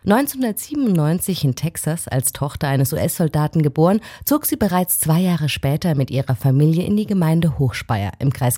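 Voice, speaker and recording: Low, husky female news narrator. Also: no room sound. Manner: measured, expressive reading. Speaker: reporter. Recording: dry close voice-over.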